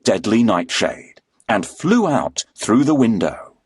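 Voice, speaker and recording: A male storyteller reads a children's fairy tale. His voice is low and husky, with hugely animated pitch and a slow, dramatic delivery. The sound is dry and close. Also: dry recording. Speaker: storyteller, narrator.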